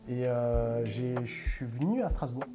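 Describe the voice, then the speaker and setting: A man's voice: mid-range voice, a little slow, music in the background. A man in his thirties, a native Italian speaker, co-hosting a podcast, chatting casually with many fillers and restarts. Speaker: podcast co-host. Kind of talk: casual chat.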